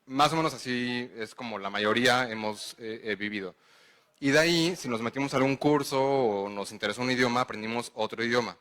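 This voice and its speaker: A man's voice: mid-range voice, pushed and bright. A man with a Mexican accent giving a conference lecture. He explains step by step and checks in with the audience. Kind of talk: conference lecture answering questions.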